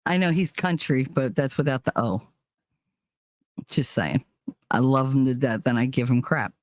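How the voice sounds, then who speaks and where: A woman's voice: low-pitched, a little mellow, dry recording. Middle-aged American woman, casual live-chat host. Blunt, teasing, sweary delivery, recorded dry. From New Jersey, United States. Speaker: live talk show host.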